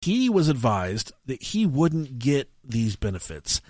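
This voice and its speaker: A man's voice: medium pitch, very animated, darkish tone, no room sound. Guy cohosting a podcast, swears a lot, stumbles along telling stories casually, really dry sound. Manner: crude, profane, halting. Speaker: podcast co-host. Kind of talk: casual comic storytelling.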